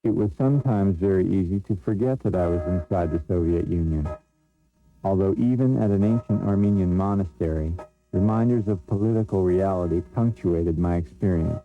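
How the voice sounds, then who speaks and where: Deep-voiced man narrating a documentary. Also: moderate pace. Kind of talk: scripted first-person documentary narration. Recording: narrow lo-fi sound with faint music. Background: faint music.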